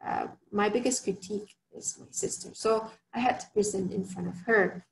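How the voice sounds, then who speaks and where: A woman from Malaysia talks through tips in an online workshop, her soft voice carrying a gravelly fry. She chats loosely, with fillers and restarts. Faint noise sits under her computer mic on the call. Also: medium pitch, moderate pace, poor audio. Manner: chatty, frequent fillers and restarts. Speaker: workshop speaker. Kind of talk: online presentation talk.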